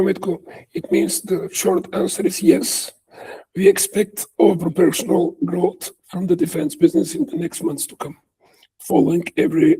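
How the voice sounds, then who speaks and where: A man's voice: sits high, gravelly, mellow, husky, low-quality recording. A man, speaking as a company executive answering questions on an earnings call.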